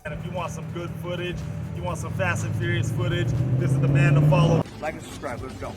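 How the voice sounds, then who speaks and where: A man's voice: fairly high pitch, little pitch movement, fast talker. A man chatting casually outdoors, caught some way from the microphone. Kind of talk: casual chat on the spot. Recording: distant mic outdoors, loud music.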